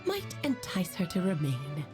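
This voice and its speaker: A woman's voice: fairly low pitch, huge pitch swings, unhurried. A woman playing a playful, breezy witch with theatrical, elegant phrasing in a video game. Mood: wistful, theatrical. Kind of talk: video game character dialogue. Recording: music behind the voice.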